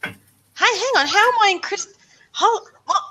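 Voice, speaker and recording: Bright, excitable Australian woman. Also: extremely high pitch, slight background noise. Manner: excitable, thinking aloud with quick exclamations. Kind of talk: casual chat. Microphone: video call.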